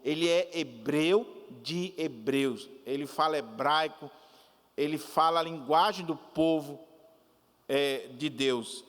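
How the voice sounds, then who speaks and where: Male pastor, high register, unhurried teaching sermon, long church reverb. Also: a bit bright. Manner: deliberate, repeats and restates points, explanatory asides.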